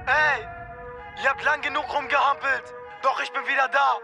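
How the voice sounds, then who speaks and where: A man's voice: extremely high pitch, steady tone, moderate pace, music in the background. A man rapping an aggressive, menacing verse as a contestant. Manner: menacing, gravelly street rap delivery. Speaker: rap contestant. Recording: loud hip hop beat behind.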